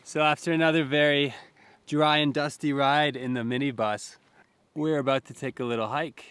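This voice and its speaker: A man's voice: on the high side, fairly even intonation, normal speed, clear, resonant tone. A man vlogging his travels, talking straight to viewers in an upbeat way outdoors in a canyon. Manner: upbeat, addresses viewers directly. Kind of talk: travel vlog narration and chat. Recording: loud outdoor noise.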